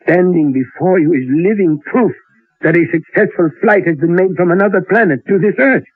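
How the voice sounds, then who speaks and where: Through a tinny, narrow old radio sound comes a learned male scientist. His voice is rather high and somewhat husky. He speaks formally and deliberately, with great earnestness, as in a vintage radio play. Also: no room sound. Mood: grave. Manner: formal, deliberate, precise speech. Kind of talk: old-time radio drama acting. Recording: tinny, band-limited old radio recording.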